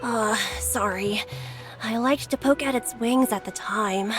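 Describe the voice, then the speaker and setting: A woman's voice: a little high, a little slow, over music, lightly acted. A woman voicing a curious, friendly young onlooker in an anime game. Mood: sheepish.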